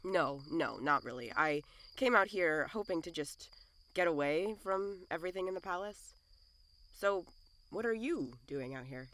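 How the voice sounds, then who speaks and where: A woman playing a hesitant, weary male king in an amateur audio drama, with a halting, stammering delivery. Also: lowish voice, expressive, a bit bright.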